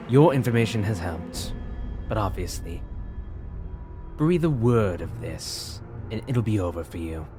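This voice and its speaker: Man voicing a menacing villain, lowish pitch, condescending, curt, cold, audio drama with background music. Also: close to an everyday voice.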